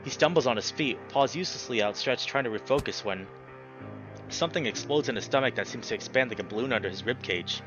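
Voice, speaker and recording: A man gives a dramatic, highly animated read of a visual novel. His delivery is expressive and free with swearing. The sound is slightly dull, with music behind him. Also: quick pace. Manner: expressive, exclamatory, swears freely. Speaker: let's player reading a visual novel aloud. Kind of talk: dramatic narration with casual reactions.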